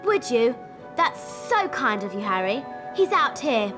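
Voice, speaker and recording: A woman with a British accent playing a brisk, bossy, helpful schoolgirl witch in a video game. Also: on the high side, big pitch swings, music in the background. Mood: urgent, instructive. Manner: brisk, instructive.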